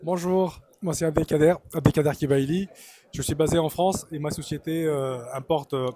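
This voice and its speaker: A man answers interview questions in a measured, hesitant way, filling his pauses. His voice sits a bit high for a man. The interview takes place at a trade fair. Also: fairly even intonation, warm tone. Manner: hesitant, many fillers, measured. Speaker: importer, interview guest. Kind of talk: casual spoken interview. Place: busy trade fair hall.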